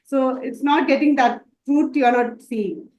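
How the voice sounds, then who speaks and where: A woman's voice: high-pitched, measured pace, a little mellow, reverberant. A woman from Dubai answering interview questions about her makeup work, hesitant and full of fillers and restarts. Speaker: makeup artist student.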